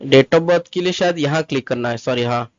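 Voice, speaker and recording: A man's voice: mid-range voice, normal speed, dry recording. A man giving an informal step-by-step screen tutorial, repeating each instruction and mixing in English terms. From Mumbai, India. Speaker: tutorial presenter, travel agent. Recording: dull lo-fi close dry recording. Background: voice-over to screen recording.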